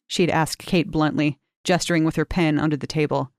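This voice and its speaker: A woman's voice: deep voice, no room sound. Woman narrating a story in a dry booth. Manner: measured, expressive storytelling with lifted emphasis on key words. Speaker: reader of short fiction. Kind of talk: audiobook narration of a short story.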